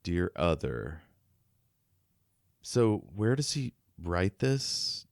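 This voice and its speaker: Low, smooth-voiced man chatting about a book. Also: sing-song, extremely slow, no room sound, studio quality. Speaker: podcast co-host. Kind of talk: casual book discussion.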